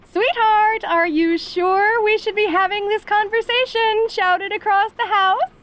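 A woman's voice: very high voice, fairly even intonation, slow, a bit bright, exaggerated voice. A woman playing a perky, doting yandere mother in an audio roleplay, with sugary, theatrical, genteel speech. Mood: coy. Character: perky, doting, middle-aged yandere mother, teasingly clingy.

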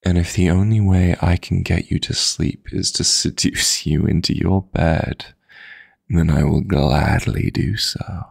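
A man playing a seductive, possessive yet tender dream demon lover in an intimate audio roleplay, murmuring flirtatious endearments in a husky, breathy voice close to the mic. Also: no room sound, exaggerated voice. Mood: concerned, coaxing. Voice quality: gravelly, husky, breathy low voice. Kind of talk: intimate romantic sleep-aid audio roleplay. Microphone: close, dry studio-style microphone.

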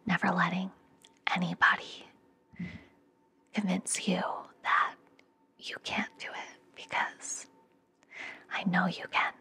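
Young woman whispering asmr relaxation stuff, super breathy, gentle and reassuring, pretty flat pitch, right up on the mic. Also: slow, pauses often. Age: young adult. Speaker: ASMR podcast host. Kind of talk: ASMR, soothing guided relaxation and affirmations. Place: indoor room, close up. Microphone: fluffy-covered microphone very close to the mouth.

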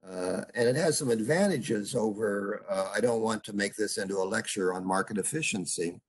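An older man teaching an online seminar as a professor, speaking haltingly with many fillers and stopping often to put questions to his students over a video call. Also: lowish voice. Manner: halting, full of uhs, asks students questions. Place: home room on a video call. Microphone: video call computer mic.

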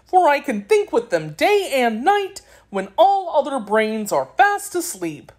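A woman putting on a cheerful straw scarecrow man, pitched high. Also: very animated, a little slow, darkish tone. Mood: proud, cheerful.

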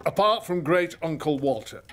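Hearty cartoon king voiced by a man, ceremonious, halting, high animated voice, jolly father, music behind. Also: close to an everyday voice. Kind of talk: children's cartoon voice acting.

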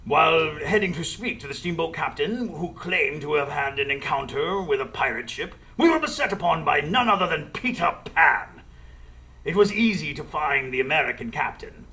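An actor playing an earnest, formal gentleman sidekick, narrating theatrically in a radio play. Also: fairly high pitch, lively intonation, moderate pace.

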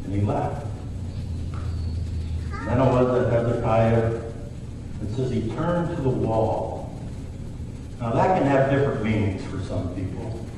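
A man's voice: mid-range voice, measured pace, pauses often. A middle-aged man preaching a sermon in a church sanctuary, telling the story in a conversational way and voicing the characters he quotes. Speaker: pastor. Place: church sanctuary, large room. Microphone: far camera at back of church. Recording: distant roomy church recording with hiss.

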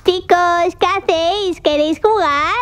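A woman voicing an eager, excited little girl in a cartoon. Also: very high voice, measured pace.

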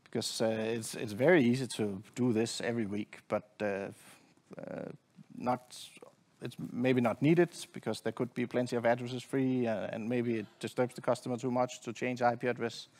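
A middle-aged man giving a technical presentation from a conference stage in a halting, hesitant way. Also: mid-range voice, fairly even intonation, a little mellow. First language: not Swedish. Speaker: conference presenter, network engineer. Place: conference stage at a lectern, indoors.

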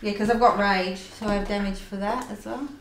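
A woman playing a tabletop game, loud and joking as she reads out her character's abilities. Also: medium pitch, fairly even intonation, fairly quick. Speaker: player. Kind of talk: casual game chat. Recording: echoey room, faint noise.

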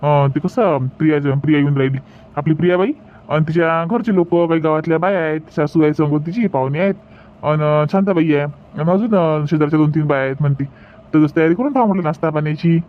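Halting village husband played by a man, dull recording. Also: fairly high pitch, natural voice. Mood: instructing. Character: hesitant village husband giving household orders. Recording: dull lo-fi sound, faint noise.

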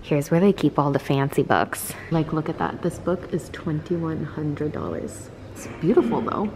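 A young adult woman chatting casually in a vlog, gushing and exclaiming in an excitable way. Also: sits low, normal speed, rough recording. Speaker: vlogger. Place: indoors, bookstores and an empty room at home. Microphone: handheld camera at arm's length. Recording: noticeable background noise.

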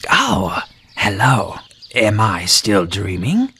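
A man voicing a sly, flattering fox in a children's fable, with a low, gravelly, husky voice and drawn-out delivery. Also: a little hiss or hum, exaggerated voice. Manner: slow, drawn-out, smooth-talking flattery. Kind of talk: children's storybook character acting.

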